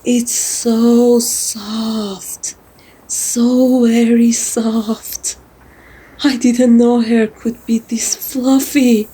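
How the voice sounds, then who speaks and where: Casting: woman as female vampire for ASMR roleplay, soft-spoken and unhurried, kindly dominant, flirty, dotes on the listener. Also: on the high side, level tone, slightly rough, heavily acted, poor audio. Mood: delighted cooing. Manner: soft-spoken, teasing, cooing, addresses listener like a pet.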